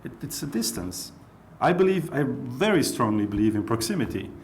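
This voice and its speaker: Guy from belgium on a panel, says like constantly, mid pitch, laid back. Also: very animated, normal speed, darkish tone, a little hiss or hum. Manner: casual, lots of "like" fillers. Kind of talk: panel discussion.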